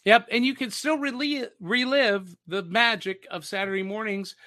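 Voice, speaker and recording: A man co-hosting a podcast in casual, nostalgic pop-culture chat, often pausing to search for his words. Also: high-pitched, bright, forceful tone. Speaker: podcast co-host.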